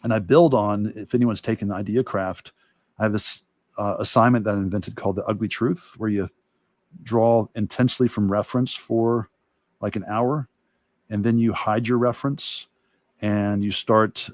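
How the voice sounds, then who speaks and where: A man who teaches art, talking casually and thinking aloud in a halting way during a livestream demo. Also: fairly low pitch, fairly even intonation, dry recording. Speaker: art instructor and illustrator.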